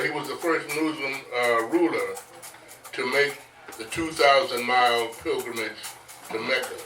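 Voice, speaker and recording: A man narrating history on stage in a deliberate, emphatic way, like a live recitation. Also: medium pitch, pushed and bright. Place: echoey venue, live stage performance. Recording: echoey, distant mic, faint music.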